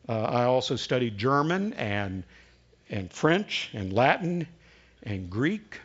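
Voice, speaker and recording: A man's voice: medium pitch, very animated, slow pace, highs cut off. A man in his sixties with a Southern American accent, preaching a sermon as a pastor in a folksy storytelling way. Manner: folksy storytelling with frequent uh fillers.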